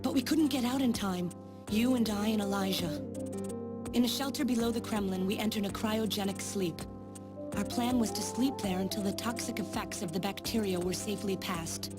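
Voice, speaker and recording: An actress plays a woman scientist in a dramatic video game, grave and full of sorrow as she lays out a dark history. Her voice sits at an ordinary womanly pitch, with prominent music playing underneath. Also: normal speed, close to an everyday voice. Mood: grave, sorrowful.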